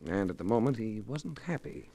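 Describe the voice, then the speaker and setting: An American actor playing a suave, wry private investigator, narrating in cool, dry 1950s hardboiled radio style. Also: male voice, lowish voice, lively intonation, moderate pace, gravelly, warm tone. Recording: slightly noisy old radio recording.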